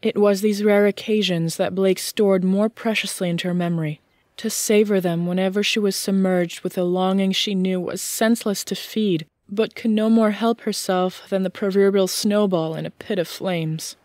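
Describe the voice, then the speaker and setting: A woman reading scripted fiction as an audiobook narrator, in a measured storytelling manner. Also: medium pitch, steady tone, darkish tone, no echo, studio quality.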